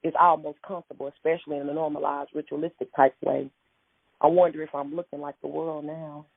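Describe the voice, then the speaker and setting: Husky woman poet on a phone line. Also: low-pitched, flat delivery, fairly quick, no echo. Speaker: poet and interview guest. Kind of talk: conversational, reflective talk and poetry reading. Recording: tinny, phone-like radio call-in line.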